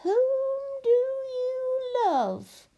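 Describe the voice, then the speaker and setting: Cruel, very slow, high-voiced old witch. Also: big pitch swings, highs cut off, full character voice. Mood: menacing. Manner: drawn-out, deliberate, disdainful. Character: cruel, miserly old witch, cold and menacing.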